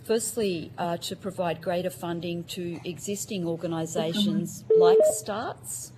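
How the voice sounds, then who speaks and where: A middle-aged woman who grew up in Australia, giving measured formal testimony as a witness over a call, with many um fillers. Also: on the low side, heavy background noise. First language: Ukrainian. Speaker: witness, psychiatrist.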